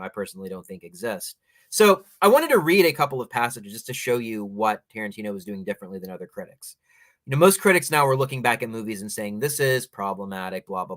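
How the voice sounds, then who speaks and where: A man hosting a culture show, giving opinionated, explanatory film commentary in a measured, bookish way and reading passages aloud. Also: lowish voice, a bit bright, no room sound.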